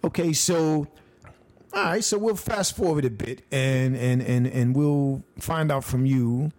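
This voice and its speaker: A man from the United States hosting a podcast interview, halting and jokey, stumbling through many restarts and fillers. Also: medium pitch, darkish tone. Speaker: podcast host. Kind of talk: casual interview.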